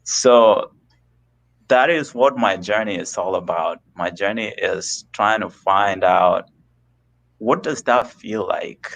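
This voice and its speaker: A man from Kenya, a guest on a live video call, talking slowly and earnestly about spiritual ideas. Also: lowish voice, gravelly, fairly bright tone, lo-fi sound, a little hiss or hum. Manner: slow, searching and earnest. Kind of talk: reflective spiritual conversation.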